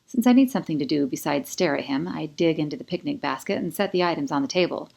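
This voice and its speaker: A woman reading first-person fiction as a scripted audiobook, with expressive, dramatic storytelling. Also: low-pitched, fast talker, creaky. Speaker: author reading own audiobook.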